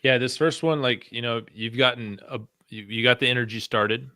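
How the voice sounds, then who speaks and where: A man's voice: mid-range voice, steady tone, normal speed, sharp tone, no room sound. A man co-hosting a livestream, talking in an upbeat, joking way with lots of fillers. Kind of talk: casual group chat on a livestream. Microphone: video call.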